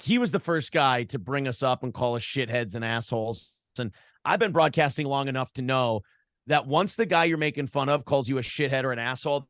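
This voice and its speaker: A man's voice: medium pitch, dry recording, clean studio sound. A man being interviewed in a casual conversation, telling stories in a joking, profane way. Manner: animated storytelling, profane, joking. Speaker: interview guest, broadcaster.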